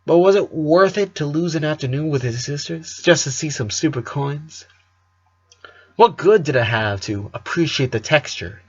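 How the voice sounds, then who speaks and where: A man narrating a story aloud as a reader who now and then stumbles on a word, recorded slightly dull. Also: mid-range voice. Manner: occasional stumbles over words while reading. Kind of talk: fan fiction read aloud.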